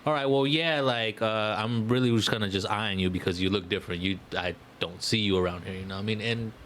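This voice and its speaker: Low male voice, slangy, profane, conversational podcast delivery, slight background noise. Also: a little fast, a bit bright. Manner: slangy, profane, repeats "you know what I mean". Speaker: podcast co-host. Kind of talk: casual podcast chat.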